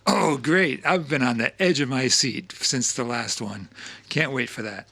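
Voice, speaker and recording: Bright-voiced man hosting a show. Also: mid-range voice, a little slow, gravelly. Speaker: show host. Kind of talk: lively scripted introductions and casual interview chat.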